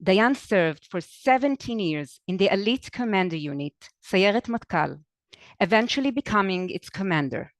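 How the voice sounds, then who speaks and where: A woman's voice: mid-range voice, animated, moderate pace, no room sound. A woman hosting an interview, reading a formal, scripted introduction and then asking questions. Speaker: host.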